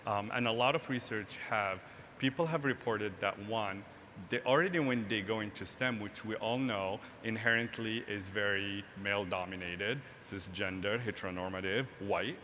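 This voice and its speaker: A young man from Morocco speaks in a low, forceful tone, earnest and quick to add "right?" as he explains. His voice rings with the long reverb of a big event hall, with a faint hum of noise underneath. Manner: earnest, frequent "right?" tag questions. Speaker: tech nonprofit founder, guest. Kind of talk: stage interview. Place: large hall, live event stage.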